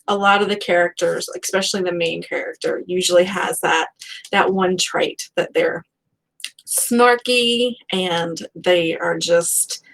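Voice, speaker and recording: A woman hosting a casual live book chat, talking like an animated, gushing fan and acting out her reactions. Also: medium pitch, creaky, low-quality recording. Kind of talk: casual chatty author interview.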